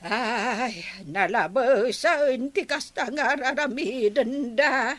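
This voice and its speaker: Woman acting bright, slow-talking Ilocano elder relative. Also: on the high side. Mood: concerned. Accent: Ilocano Filipino. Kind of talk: radio drama acting.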